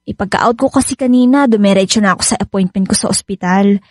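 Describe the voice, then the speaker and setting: An actress playing a gentle, reassuring young pregnant wife in a conversational, coaxing radio drama manner. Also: female voice, medium pitch, quick pace, no room sound, studio quality, lightly acted. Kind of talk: Filipino radio drama dialogue. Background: faint music.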